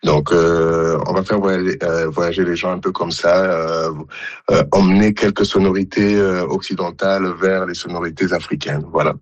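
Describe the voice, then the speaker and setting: A man's voice: low-pitched, fairly even intonation, moderate pace, no room sound, muffled recording. A man from Congo, a musician guest on a radio interview, answering with many hesitations and restarts.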